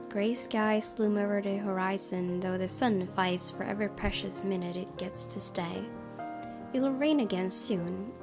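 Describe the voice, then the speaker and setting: A woman game streamer reading a visual novel aloud as expressive narration. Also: medium pitch, vocal fry.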